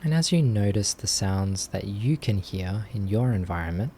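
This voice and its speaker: A man leading a guided meditation as a teacher, speaking gently in short phrases with long pauses. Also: on the low side, slight background noise. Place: live online group session.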